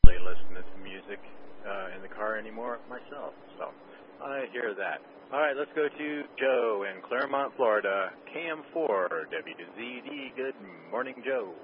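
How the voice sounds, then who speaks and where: A man's voice: mid-range voice, normal speed. A man acting as net control on a ham radio net, steadily calling in check-ins and adding dry quips. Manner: steady, matter-of-fact call-taking with dry quips. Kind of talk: radio net roll call. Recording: dull, muffled radio audio with faint hiss.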